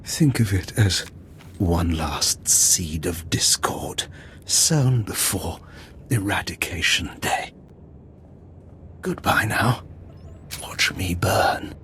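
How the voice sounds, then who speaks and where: An actor playing a bitter, grandiose revolutionary leader in a video game, speaking in deliberate, theatrical speeches. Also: male voice, sits low, expressive, fairly bright tone, a little hiss or hum, heavily acted. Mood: fatalistic.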